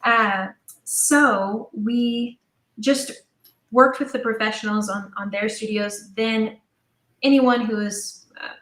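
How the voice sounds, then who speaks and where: A woman's voice: on the high side, steady tone, takes it slowly, fairly bright tone, echoey room, rough recording. A woman speaking informally as an interview guest, thinking aloud as she explains her creative work in a chatty, conversational way. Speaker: audio drama writer and director, interview guest.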